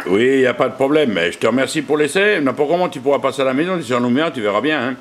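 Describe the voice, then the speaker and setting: A man's voice: medium pitch, lively intonation, racing, heavy background noise. Man chatting casually as a radio operator. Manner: colloquial, peppered with radio jargon. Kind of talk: casual radio contact chat.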